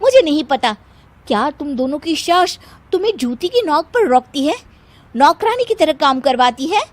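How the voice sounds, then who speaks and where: Woman doing a very high young Bengali daughter-in-law. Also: very animated, normal speed. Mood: indignant defence. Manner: polite, gentle protesting. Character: quiet, polite young Bengali daughter-in-law, meek but loyal. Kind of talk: animated story dialogue.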